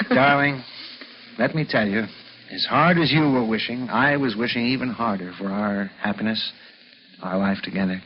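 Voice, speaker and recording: An actor playing a smooth-talking con man posing as a doting husband, in 1950s radio drama style. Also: mid-range voice, unhurried, long pauses, lightly acted. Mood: tender. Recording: muffled, hissy vintage radio recording.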